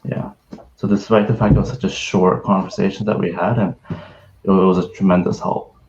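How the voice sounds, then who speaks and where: A man's voice: sits low, flat delivery, moderate pace, darkish tone, lo-fi sound, a little hiss or hum. A young man from Canada in his twenties, a student telling a story on a video call, hesitant with many fillers and restarts. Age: 20s. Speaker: university student panelist. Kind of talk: casual storytelling on a webinar.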